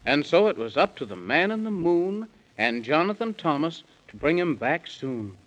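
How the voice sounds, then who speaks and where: A man's voice: on the high side, sing-song, moderate pace, fairly bright tone. A man narrating a rhyming children's story in theatrical, singsong 1930s radio style. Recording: dull, band-limited 1930s radio recording.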